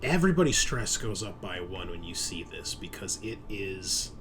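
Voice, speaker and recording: A man's voice: low voice, sing-song, moderate pace, a bit bright, a little hiss or hum. A man narrating a tabletop horror roleplaying game as game master, descriptive and conversational, with some swearing.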